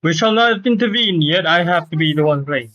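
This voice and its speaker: A man's voice: a little high, animated, brisk, pushed and bright, no room sound. Man streaming games, slightly muffled mic. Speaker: livestreamer playing a game. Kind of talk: casual gameplay commentary.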